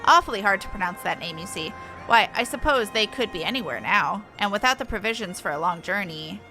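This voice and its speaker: A woman's voice: mid-range voice, lively intonation, fairly quick, music in the background, lightly acted. A woman voicing a sly moogle foreman in a video game, with an affected, theatrical, mock-apologetic manner. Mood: mock remorse. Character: sly moogle foreman feigning innocence and remorse.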